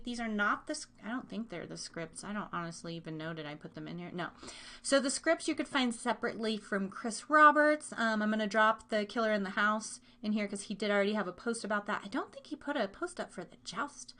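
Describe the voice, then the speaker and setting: A high-voiced woman hosts a live podcast in an easygoing chat style. She rambles informally, thinking aloud as she works through her notes. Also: a little fast, fairly bright tone. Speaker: podcast and live stream host. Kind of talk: casual live show chat.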